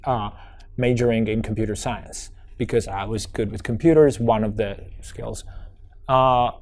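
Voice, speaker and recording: A man's voice: mid-range voice, a little mellow. A young man from Georgia with an American accent, his first language Georgian, telling his life story in an oral history interview, reflective and full of restarts. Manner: reflective, many restarts and fillers.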